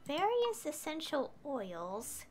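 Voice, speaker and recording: A woman's voice: high voice, huge pitch swings, slow pace, darkish tone, faint music behind. A woman streaming a game with casual commentary, thinking aloud hesitantly.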